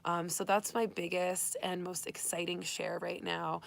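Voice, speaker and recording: A woman who has nearly lost her voice, hoarse, hosting an upbeat, spiritual tarot reading for her channel. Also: lowish voice, flat delivery, unhurried, slight background noise. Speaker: tarot reader and channel host. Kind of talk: casual livestream reading. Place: Arizona.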